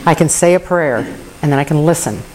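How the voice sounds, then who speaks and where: A woman's voice: low-pitched, lively intonation, brisk, slight background noise, poor audio. A woman speaking candidly and earnestly about her recovery, with touches of self-deprecating humor. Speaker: recovery meeting member. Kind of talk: personal recovery share.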